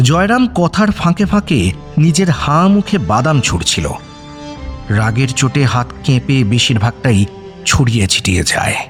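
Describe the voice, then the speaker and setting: A man narrating a scripted radio story in an expressive, dramatic manner. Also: mid-range voice, normal speed, soft background music.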